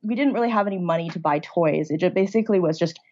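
A woman in her thirties from China tells her story in a relaxed, conversational way, her voice sitting a little low. The sound is dull and lo-fi, as over a remote call. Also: fast talker. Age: 30s. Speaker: guest. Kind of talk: casual interview talk.

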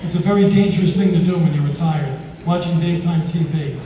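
A man in his sixties doing a stand-up comedy routine on a theater stage, with a deadpan, self-mocking delivery. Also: a little high, fairly quick, lo-fi sound, slight background noise. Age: 60s. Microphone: handheld mic, filmed from far in the audience. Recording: echoey hall with crowd, from far off. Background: audience laughter and applause.

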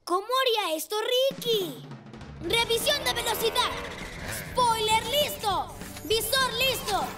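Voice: very high-pitched, expressive, slow pace, exaggerated voice. An actor voicing a plucky young rescue motorcycle with a childlike voice in a children's cartoon, friendly and confident. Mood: determined. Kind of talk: animated children's series dub. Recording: music behind the voice.

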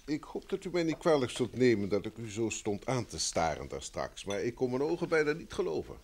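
A man's voice: mid-range voice, very animated, brisk, darkish tone, slight background noise. An actor in an old Dutch radio play, playing a polite, wealthy businessman, earnest and troubled, with a formal, courteous manner.

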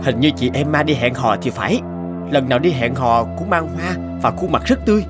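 A man playing an earnest, patient young man in dubbed animated dialogue. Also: medium pitch, a little fast, close to an everyday voice. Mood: casual, upbeat. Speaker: voice actor in an animated moral tale. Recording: music behind the voice.

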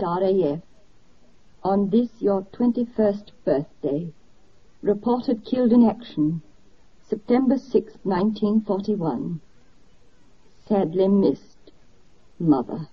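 A woman playing a grieving mother reading out a letter in a 1940s radio drama, mournful and measured. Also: medium pitch, slightly rough. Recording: muffled old 1940s radio recording.

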